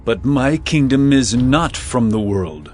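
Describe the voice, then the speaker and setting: An actor playing a calm, steadfast holy teacher on trial, speaking in a solemn, measured scripture-drama manner. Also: male voice, medium pitch, soft background music.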